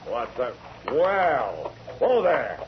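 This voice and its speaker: A man's voice: medium pitch, sing-song, very slow, background noise. A man playing a curt, domineering ranch owner, brusque and commanding in 1940s radio drama style. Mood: stern, irritated. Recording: dull lo-fi 1940s radio recording.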